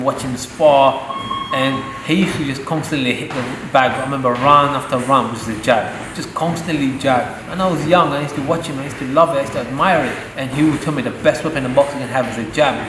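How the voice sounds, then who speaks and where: A young man, a professional boxer born in Saudi Arabia, speaks earnestly and proudly in a pre-fight interview, with the odd joke. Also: medium pitch. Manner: earnest and proud, joking now and then. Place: boxing gym. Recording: echoey gym with loud ambient noise.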